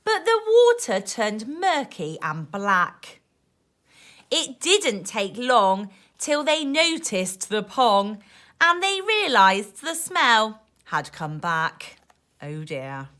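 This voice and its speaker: A woman teacher reading a rhyming picture book to young children in an expressive, sing-song way, with asides to her listeners. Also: on the high side, takes it slowly. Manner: animated, emphatic, sing-song rhyming delivery.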